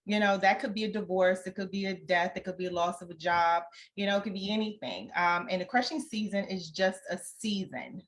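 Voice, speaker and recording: A woman's voice: medium pitch, fairly even intonation, fairly quick, hardly any pauses. A woman guest in an interview, speaking conversationally as an author in a reflective, encouraging way.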